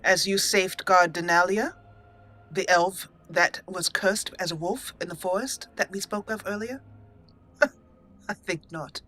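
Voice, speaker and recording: A resolute young elf mage, as a woman puts her on speaks in a bright, ringing tone. She talks in a measured, solemn manner, choosing her words with care. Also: on the low side, low-quality recording. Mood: firm. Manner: measured, solemn role-play reading.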